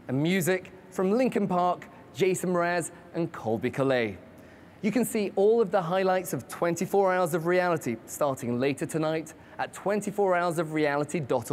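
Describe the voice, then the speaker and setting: Host, mid-pitched voice, upbeat promo read, scripted links between segments. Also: darkish tone, a little hiss or hum. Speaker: program host. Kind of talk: scripted broadcast narration.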